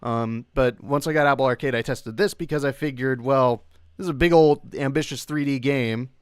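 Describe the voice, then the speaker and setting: Clear-voiced young man chatting on a livestream. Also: medium pitch, moderate pace. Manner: rambling, hesitant, self-interrupting. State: occasional coughing from long covid. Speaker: livestream host. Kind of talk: casual livestream chat.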